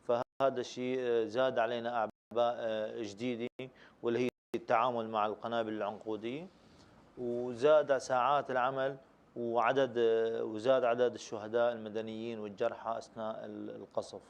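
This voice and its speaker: A man answering panel questions at a rapid clip. His pitch barely moves, and he speaks in a steady, measured way with frequent hesitant fillers. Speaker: rescue group head answering questions. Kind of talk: formal answers at a public panel.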